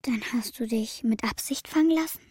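Earnest, high, husky young girl. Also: normal speed, a little mellow, no echo, clean studio sound. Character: young schoolgirl, earnest, tender granddaughter of a herder. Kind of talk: German children's radio drama acting.

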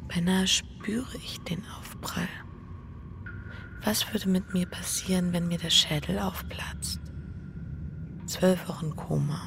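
Hushed, creaky-voiced anxious wife. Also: female voice, lowish voice. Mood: dazed, numb. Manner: introspective, hushed inner monologue with halting dialogue. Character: anxious, guilt-ridden adulterous wife, dazed and restless. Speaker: lead actor and first-person narrator. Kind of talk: intimate literary radio drama narration.

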